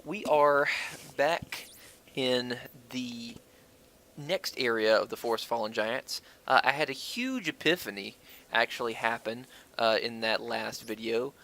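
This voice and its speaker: Male gamer, bright forceful voice, casual commentary, profane, self-deprecating, rambling and halting. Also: medium pitch. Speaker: gaming video host. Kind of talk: casual Let's Play commentary. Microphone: worn-out stand-by microphone. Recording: cheap mic with wonky audio.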